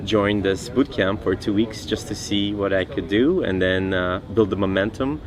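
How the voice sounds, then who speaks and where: A man from Russia with a very low voice introduces himself in a measured, matter-of-fact way. Street sounds and air conditioning are clearly heard behind him. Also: a little hiss or hum. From Siberia, Russia. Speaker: mastermind participant. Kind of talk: casual self-introduction.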